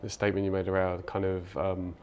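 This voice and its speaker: Guy hosting a business chat, lowish voice, bit of noise behind him, nods along a lot. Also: completely flat delivery, fairly quick, a little mellow. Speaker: interview host. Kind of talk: live business interview. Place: company studio in an office.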